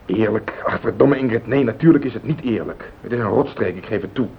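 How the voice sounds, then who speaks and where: An actor playing a rattled, evasive husband in a radio play, agitated and pleading. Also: male voice, a little fast, gravelly. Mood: agitated, insistent. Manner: agitated, pleading, self-justifying. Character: rattled, evasive husband hiding a car accident. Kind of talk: Dutch radio play acting. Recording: muffled phone-like old radio recording, rumbling hiss.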